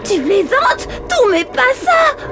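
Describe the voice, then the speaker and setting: Casting: a nervous, whining cartoon tot, extremely high voice, heard over prominent music. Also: female voice, big pitch swings, slow, exaggerated voice. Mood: bewildered, alarmed. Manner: whiny, babyish. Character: anxious toddler in a cartoon.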